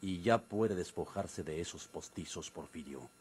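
An actor playing a grave, authoritative Mexican priest in a radio drama, speaking in a measured, formal way. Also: male voice, deep voice, a little hiss or hum. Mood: stern, accusing. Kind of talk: golden-age radio drama acting.

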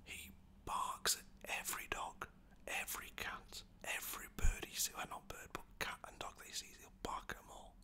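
A man from Lancaster, softly whispering rambling stories about pets as a dog walker. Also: normal speed. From Lancaster, England. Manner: soft whispering, rambling and chatty. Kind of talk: whispered ASMR storytelling.

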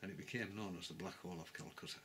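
An older man on a sofa in his living room gives an unscripted history talk to a close webcam. He speaks calmly and pauses to find his words. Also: low-pitched. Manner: calm, measured, pauses to search for words. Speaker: travel vlogger. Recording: clear background noise.

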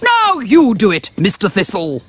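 A woman playing a jolly, bossy pet school teacher in a children's cartoon, with a British accent and a brisk, commanding, hearty manner. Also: mid-range voice, huge pitch swings, a little hiss or hum. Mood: commanding, encouraging. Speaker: pet trainer.

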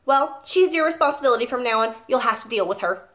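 A woman's voice: fairly high pitch, lively intonation, fast talker, fairly bright tone, voice pushed up. A woman reading as a snappish rude little man. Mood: dismissive. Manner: curt, dismissive, snappish. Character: rude, ratty little man, impatient father.

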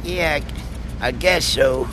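An actor with a Southern US accent voicing a goofy, good-natured rusty tow truck in a video game, dopey and rambling. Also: male voice, fairly high pitch, slow, fairly bright tone, slight background noise. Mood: dim, eager.

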